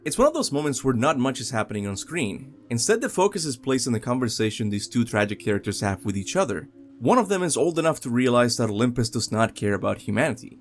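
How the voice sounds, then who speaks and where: A man's voice: medium pitch, very animated, fast talker. A young man narrating a scripted video game countdown as host, with music behind him.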